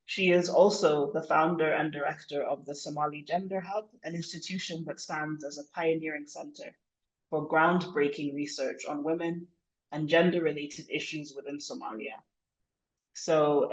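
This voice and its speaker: A man leading a tutorial as facilitator, speaking informally and reflectively, with frequent halting pauses mid-thought. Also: a little high, steady tone, lo-fi sound. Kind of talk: informal spoken presentation to a group.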